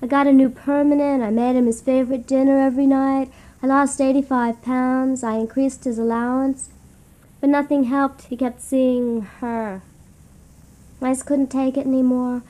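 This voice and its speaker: Actress as meek, high-voiced doormat girlfriend. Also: flat delivery, normal speed, dark tone, lightly acted, low-quality recording. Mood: meek, matter-of-fact. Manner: artless, matter-of-fact confessing. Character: meek, desperate doormat girlfriend sharing at seminar. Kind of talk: satirical radio comedy acting.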